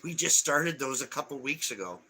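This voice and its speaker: Male co-host, 40s, bright tone, casual and halting as he reads out comments, faint noise behind. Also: mid-range voice, expressive, rough recording. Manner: reads viewer comments aloud, halting with fillers. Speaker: live stream co-host. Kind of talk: casual live stream chat.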